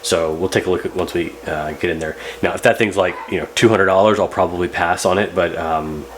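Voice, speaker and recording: A man's voice: low-pitched, fairly even intonation, a little fast, a little hiss or hum. A man hosting a repair video, narrating casually and thinking aloud as he works in a loose, rambling way. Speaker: host of a radio repair channel.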